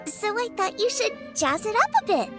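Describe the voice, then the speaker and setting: An actress voicing a cheerful, chatty young female cook with a bubbly, quick way of talking. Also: extremely high pitch, very animated. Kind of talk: game voice acting. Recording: game music behind the voice.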